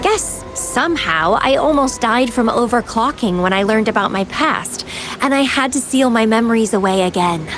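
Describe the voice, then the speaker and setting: A woman's voice: fairly high pitch, expressive, normal speed, fairly bright tone, soft background music. An actress playing a playful, carefree, enigmatic young woman in a video game, with a teasing, languid, theatrical delivery. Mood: nonchalant.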